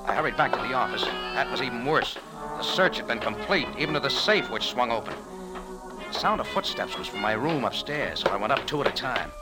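An actor playing a tough, wry American bar owner in a 1940s radio drama, narrating in clipped slang. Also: male voice, mid-range voice, animated, brisk, close to an everyday voice, rough recording. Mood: tense, gritty. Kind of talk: 1940s radio drama, hard-boiled first-person narration. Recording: vintage radio recording with loud organ music.

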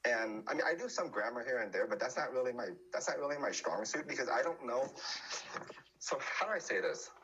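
A man's voice: medium pitch, lively intonation, fairly quick, a bit bright, breathy edge, lo-fi sound. A man chatting casually as a podcast guest, often restarting his sentences. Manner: hesitant, many restarts and fillers.

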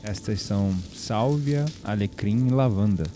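Audio drama lead: low-voiced Brazilian man played by an actor, mysterious calm spiritual guide, soft dark tone over music. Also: measured pace, natural voice. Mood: calm, enigmatic. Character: mysterious calm man guiding a fire ritual.

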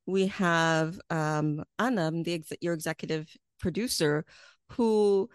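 Woman, interviewer, smooth resonant voice, slightly low pitch, measured. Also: dry recording, clean studio sound. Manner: measured, formal questions. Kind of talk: broadcast interview hosting.

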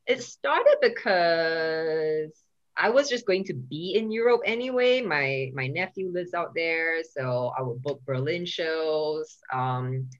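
A woman's voice: low voice, expressive, slow, lo-fi sound. A woman from Singapore, a touring stand-up comedian, telling stories in a casual podcast chat. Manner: chatty storyteller, swearing and acting out quotes.

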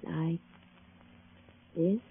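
An actress playing a detective's young sweetheart in a 1940s radio drama, soft and playful. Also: female voice, drawn-out words, slight background noise. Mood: coy, playful. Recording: thin, phone-like old radio recording.

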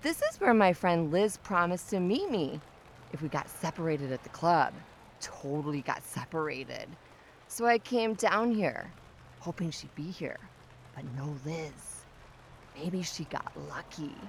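An actress plays a tipsy, pushy young woman in a comic audio play. Her voice sits slightly low but is very animated. She draws her words out slowly as she needles and teases, insistent and nosy. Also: a little hiss or hum, exaggerated voice. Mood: tipsy, chatty. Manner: chatty, teasing, insistent. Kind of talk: audio drama comedy acting.

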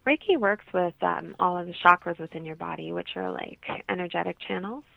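Woman on a phone-like line, creaky. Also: fairly low pitch, brisk, dry recording. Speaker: holistic healer, interview guest. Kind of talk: explanatory interview answers. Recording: muffled, narrow phone-like line.

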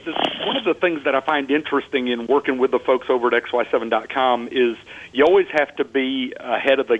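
Texan man, folksy joking chef, middle pitch, slightly dull lo-fi recording. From Texas. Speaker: guest chef. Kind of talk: casual radio chat.

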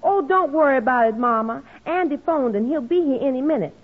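A woman's voice: sits high, lively intonation, fairly quick. An actress playing a sweet, breezy young woman with a bubbly manner in 1940s radio comedy. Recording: muffled old radio broadcast recording.

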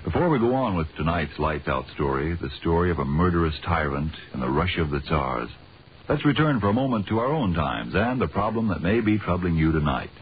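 Male vintage radio announcer: deep, lively scripted pitch on muffled 1940s broadcast. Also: a little fast, a little hiss or hum.

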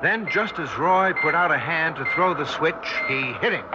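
An actor playing a haunted male scientist, narrating in tense, deliberate 1960s radio drama style with dramatic pauses. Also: fairly high pitch, lively intonation, heavy background noise, lightly acted. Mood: horrified. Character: haunted younger male scientist recounting a terrifying memory. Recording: dull 1960s radio recording, loud dramatic music.

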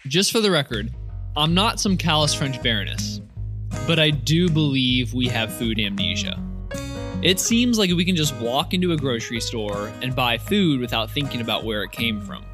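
Man, podcast host, scripted narration, calm, measured, faint guitar music. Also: medium pitch.

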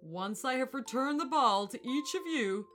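A woman voicing a huge, smug, boastful male elephant in a children's storybook, booming and condescending. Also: expressive, normal speed, fairly bright tone, faint music behind. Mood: pompous.

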